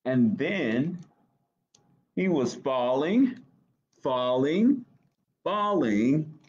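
An American man in middle age reads a children's picture book aloud at an unhurried pace. His pitch swings expressively as he stops to ask little questions. The webcam recording sounds slightly dull. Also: sing-song, darkish tone. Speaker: library storytime reader. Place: small office, indoors.

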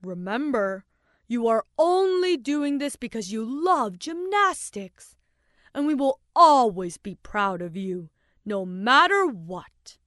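A woman voicing a loving father in a storybook, speaking softly and reassuringly to his young daughter. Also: a little high, very animated, slow pace, dry recording, clean studio sound, exaggerated voice. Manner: soft, reassuring. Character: gentle fatherly man, calm and loving.

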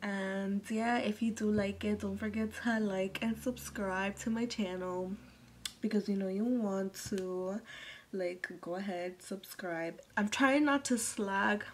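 A young woman vlogging from her bedroom, rambling casually with lots of fillers and soft trailing endings, into a close phone camera. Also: mid-range voice, slow, a little hiss or hum. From Massachusetts. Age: young adult. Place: bedroom, indoors. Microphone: phone or webcam at arm's length.

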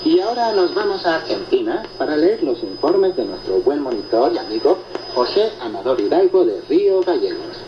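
A man's voice: fairly high pitch, very animated, normal speed. A man co-hosting a radio program, reading and answering listener reports in a conversational presenting style, heard over shortwave. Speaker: radio host. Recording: noisy shortwave radio reception, muffled, echoey. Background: loud static noise.

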